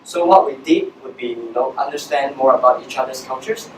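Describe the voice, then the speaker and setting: A young man from Hong Kong, speaking with a Hong Kong accent, gives a talk in an explanatory way. His voice sits at a middling pitch. It comes from some distance in an echoey room, with faint noise behind it. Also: a little fast. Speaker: social entrepreneur giving a guest talk. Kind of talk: public presentation to students.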